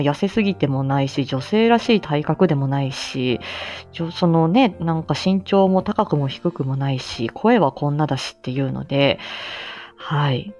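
A woman's voice: fairly low pitch, mellow. A woman hosting a casual live audio stream, chatting and reminiscing in a self-deprecating way with frequent laughter. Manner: self-deprecating, laughs often while talking. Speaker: amateur voice drama actor. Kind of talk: casual live chat, reminiscing and answering listeners. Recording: muffled lo-fi stream with background music. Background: background music.

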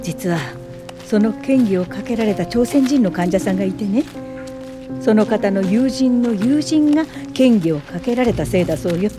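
A woman's voice: medium pitch, animated, normal speed, music in the background, close to an everyday voice. A woman playing a determined, compassionate pioneer social worker, earnest and polite, in a radio drama. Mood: resolute.

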